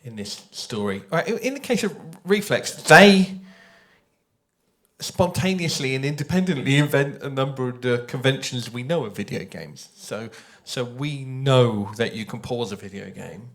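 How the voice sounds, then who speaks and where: A man of medium pitch speaks as a writer on a live discussion panel. He is thoughtful and unscripted, pausing and restarting as he works through his ideas into a microphone. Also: expressive. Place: live panel at a games festival. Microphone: handheld or shared panel microphone.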